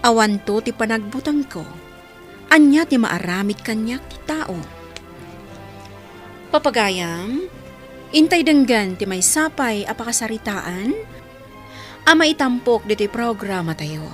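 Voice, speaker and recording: A woman's voice: on the high side, lively intonation, unhurried, long pauses. A woman with an Ilocano accent reading solemnly from a script as a radio drama programme announcer. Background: music.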